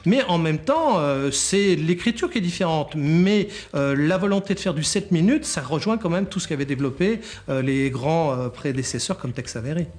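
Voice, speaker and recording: Homme à la voix assez aiguë. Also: male voice, fairly high pitch, animated, moderate pace, hardly any pauses, a little mellow. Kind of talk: interview remarks.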